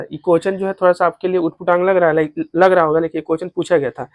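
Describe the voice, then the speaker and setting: A man's voice: fairly high pitch, no room sound, studio quality. A man with a Bihari accent teaching exam questions as a tutor, repeating each question and answer and mixing in English terms.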